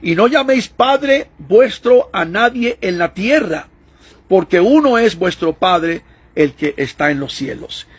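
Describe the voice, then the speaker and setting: Older guy preaching hard, high gravelly voice, raspy, pushes his points. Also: expressive, a little slow. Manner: emphatic, preaching cadence, quotes scripture. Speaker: evangelical preacher. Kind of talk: online sermon.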